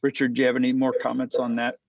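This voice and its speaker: Meeting chair, man, brisk, muffled call line. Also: mid-range voice, completely flat delivery, vocal fry, no echo. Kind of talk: running a club meeting on a video call. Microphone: video call.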